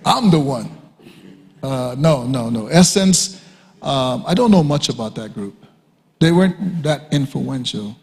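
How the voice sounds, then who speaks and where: A man teaching a sermon at a conference, emphatic and repetitive, often leaping high to act out quoted speakers. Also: expressive, a little slow, creaky, a little mellow. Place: church hall. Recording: reverberant hall.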